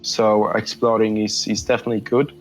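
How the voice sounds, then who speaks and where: A man's voice: on the low side, level tone, brisk, soft background music, rough recording. A man in his thirties from Poland with a Polish accent, speaking in a casual interview with many fillers and repeated words. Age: 30s. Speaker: interview guest.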